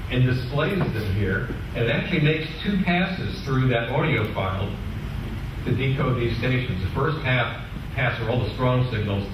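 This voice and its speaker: A man giving an informal technical presentation to a club, explaining a software demonstration step by step in a halting way. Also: mid-range voice. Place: indoor club meeting room. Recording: echoey room from far off, clearly noisy.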